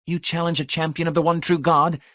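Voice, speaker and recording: A smug, preachy technician, voiced by a male narrator in a raised, needling pitch. He lectures with lofty self-righteousness, every phrase sounding like a sermon. The recording is close and dry. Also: flat delivery, fairly quick, fairly bright tone, no room sound, lightly acted. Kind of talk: audiobook character voice.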